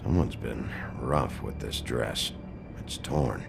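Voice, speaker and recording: Very deep, gravelly hard-boiled detective. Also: male voice, slow, full character voice. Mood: brooding, analytical. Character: gruff, weary male detective investigating a murder. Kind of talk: video game voice acting. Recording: loud music bed.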